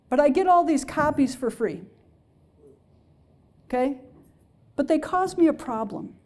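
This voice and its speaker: A woman lecturing on engineering to a public audience in a hall, her voice pitched high yet soft and mellow. She explains patiently, pausing often to ask whether her listeners follow. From Alaska-based. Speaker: engineering professor.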